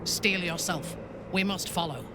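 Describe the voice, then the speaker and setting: An actor playing a grim fantasy warrior in a video game, speaking in a dramatic style. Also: male voice, high voice, unhurried, very noisy. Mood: grim, foreboding.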